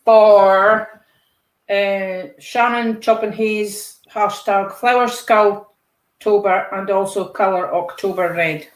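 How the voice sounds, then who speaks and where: An older woman talks in a soft, halting way and lets her sentences trail off, with a dry humour running underneath. Her voice carries a roomy echo, as if she is on a video call from a bare room. She has an ordinary, middle-height voice. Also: medium pitch, steady tone. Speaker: participant in a live colouring call. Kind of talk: casual chat.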